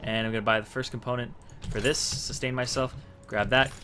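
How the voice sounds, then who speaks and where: A man's voice: medium pitch, fairly even intonation, a little fast, sharp tone, slight background noise. A young man giving casual, explanatory gameplay commentary, thinking aloud as he plays. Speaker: gamer making a strategy guide. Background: faint game music and sounds.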